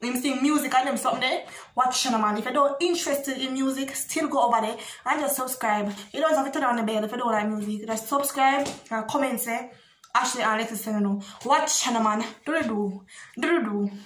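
A woman's voice: on the high side, fairly quick, fairly bright tone, slight background noise. Young Jamaican woman, echoey room. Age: young adult. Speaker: video blogger. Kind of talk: casual chat to camera. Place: small bare indoor room.

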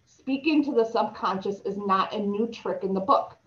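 A woman's voice: medium pitch, moderate pace. Young woman presenter on a video call, slight lisp, reads slides aloud with stumbles, somewhat dull laptop-mic sound. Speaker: presenter reading an article aloud.

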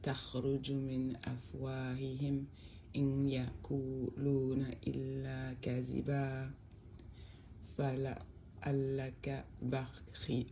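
A speaker who recites scripture and then translates it in a measured reading, stressing key words, at a desk indoors with a phone recording. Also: mid-range voice, monotone, gravelly, dark tone, slight background noise. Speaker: religious storyteller.

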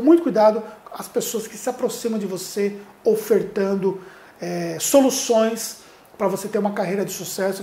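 Earnest Brazilian man, high mellow voice. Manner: earnest, addresses viewer directly, gestures a lot while talking. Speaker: public speaking coach. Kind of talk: direct-to-camera advice video. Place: indoor studio with plain light backdrop. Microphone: lapel mic. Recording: roomy echo.

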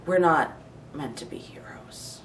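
A man's voice: a little high, a little slow, natural voice. A man acting an earnest, self-doubting, intellectual nerd with a hesitant delivery in an audition monologue. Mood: earnest, resigned. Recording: echoey room, distant mic, faint noise.

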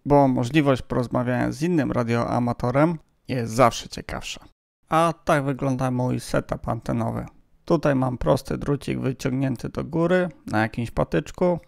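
A man's voice: medium pitch, gravelly, no echo, studio quality. A man who hosts an amateur radio vlog, narrating from a script.

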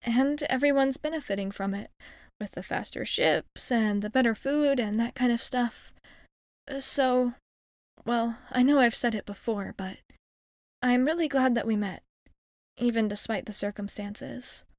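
A woman's voice: fairly high pitch, unhurried, dry recording, studio quality. A woman playing a friendly, shy young alien crewmate in an ASMR roleplay, speaking hesitantly and earnestly in casual girlish phrasing. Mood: earnest, grateful.

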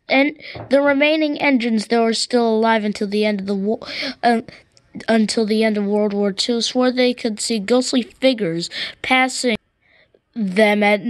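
A high-voiced female narrator tells an improvised story for a hobby cartoon. The delivery is halting, with repeated and restarted words as the tale gets pieced together. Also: dry recording.

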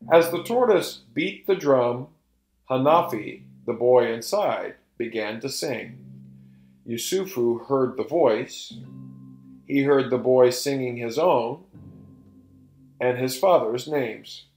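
Guy, middle aged, telling a folktale real deliberate and theatrical, roomy echo, music behind. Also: medium pitch, darkish tone. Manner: deliberate, emphatic storytelling delivery. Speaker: storyteller and presenter. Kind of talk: theatrical folktale narration for learners.

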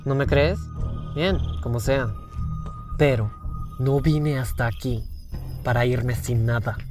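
Confident animal chieftain for animation, male actor, medium pitch, easygoing salesman manner, slightly smug, music underneath. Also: slow, lightly acted. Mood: calm, unbothered. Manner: casual, persuasive, faintly smug. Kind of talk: animated fan dub acting.

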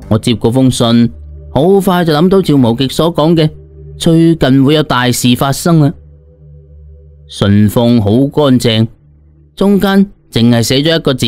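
A male storyteller with a mid-to-low voice reads a colloquial tale in the first person. He is expressive and slangy, acting out the drama, with music playing behind him. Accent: Cantonese. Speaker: audiobook storyteller. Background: background music.

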